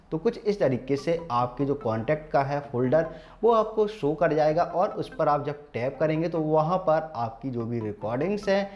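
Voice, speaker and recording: Higher-pitched Indian man explaining steps. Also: darkish tone, lo-fi sound. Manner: instructional, step-by-step, addressing viewers formally. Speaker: tech channel host. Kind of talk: scripted-sounding phone tutorial narration.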